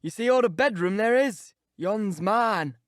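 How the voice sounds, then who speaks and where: A man's voice: high voice, lively intonation, a little slow, no echo, studio quality, voice pushed up. A man voicing a grumbling, pious old male servant with a broad Yorkshire dialect and a rustic drawl, scolding as he talks. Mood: gruff. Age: older.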